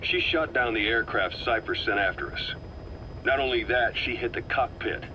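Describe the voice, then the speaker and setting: An actor playing a calm, calculating middle-aged military officer in a video game, speaking with measured, persuasive assurance. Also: male voice, medium pitch, slight background noise, rough recording. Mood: assured, reasoning. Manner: measured, assured, reasoning patiently. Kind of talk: dramatic game voice acting.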